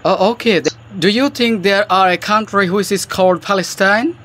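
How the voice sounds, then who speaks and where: High-voiced Bangladeshi man asking questions. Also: moderate pace, fairly bright tone. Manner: questioning, nonstandard English phrasing. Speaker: channel host. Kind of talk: English practice conversation.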